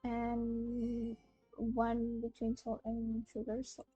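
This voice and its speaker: A woman's voice: a little high, completely flat delivery, slow pace, breathy edge, dull audio. A young woman from Malaysia chatting casually as she streams a game. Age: young adult. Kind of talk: casual live-stream chat while playing. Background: soft game music.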